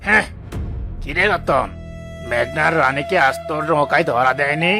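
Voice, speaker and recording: A man voicing a greedy, bullying fish trader in a cartoon, creaky-voiced, with a mocking, domineering manner. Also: on the high side, moderate pace, music in the background, lightly acted. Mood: suspicious, sneering. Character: greedy, sneering, bullying male fish trader and boat owner. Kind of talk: dramatised cartoon dialogue.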